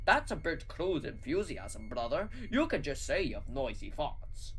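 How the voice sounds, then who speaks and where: A woman voicing a crude, excitable young man who yells bluntly at his brother. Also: deep voice, measured pace. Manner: loud, blunt.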